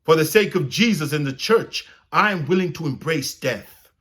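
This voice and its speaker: A man's voice: fairly high pitch, expressive, moderate pace, fairly bright tone, pitched above the performer's own voice. A man voicing a devout, unyielding medieval archbishop in a storybook, speaking in firm, solemn declarations. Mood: solemn defiance.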